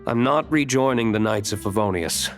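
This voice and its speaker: A man's voice: lowish voice, moderate pace, soft background music, lightly acted. A man playing a reserved, stern young tavern owner in an anime video game, dry and serious. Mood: guarded.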